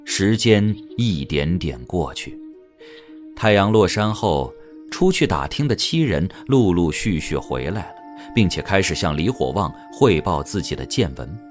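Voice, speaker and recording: A male audiobook narrator with a deep voice. He tells the story dramatically, with lively inflection and faint music underneath. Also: unhurried.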